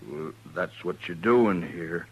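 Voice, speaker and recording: Slow, surly frontier drinker. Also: male voice, deep voice, darkish tone, breathy edge, full character voice. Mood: defensive. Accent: American rural. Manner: slow, defensive drawl. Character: surly, defensive hard-drinking frontier man. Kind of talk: 1950s western radio drama acting.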